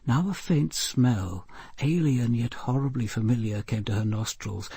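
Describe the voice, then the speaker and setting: An actor playing a composed, observant senior detective, narrating a murder case in a measured, deliberate radio drama style. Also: male voice, mid-range voice, gravelly, mellow, slightly rough, no room sound. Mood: grave, measured. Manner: deliberate, precise, grave.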